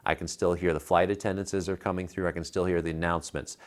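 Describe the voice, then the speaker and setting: Low-pitched, emphatic American man. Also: little pitch movement, fast talker. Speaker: tech reviewer, show co-host. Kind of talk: casual tech review chat. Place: living room at home. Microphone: clip-on lapel mic.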